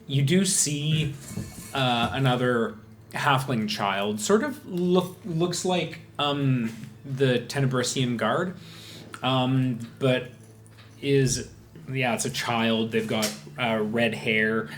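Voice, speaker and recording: A man running a tabletop game as game master, describing scenes in a halting way with many fillers and restarts. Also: medium pitch. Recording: echoey room, faint noise.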